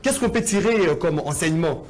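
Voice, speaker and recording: A man's voice: high-pitched, animated, brisk, crowd noise. A man with a Congolese accent hosting a political debate, putting meandering, hesitant questions to his guests. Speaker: host.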